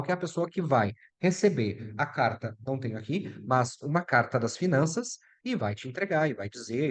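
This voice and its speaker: Brazilian man explaining law, chatty and direct. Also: medium pitch. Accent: Brazilian Portuguese. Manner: chatty and direct, addresses viewer as "tu" and "meu filho". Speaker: immigration lawyer. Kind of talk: casual explainer video.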